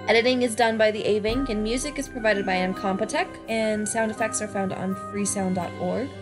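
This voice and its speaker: Female podcast announcer, higher register, scripted closing credits over music. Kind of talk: scripted outro read.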